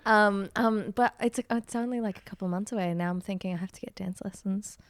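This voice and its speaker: A woman's voice: medium pitch, a little fast, dry recording. A woman hosting a podcast in casual, comedic chat with playful, informal banter. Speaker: podcast host.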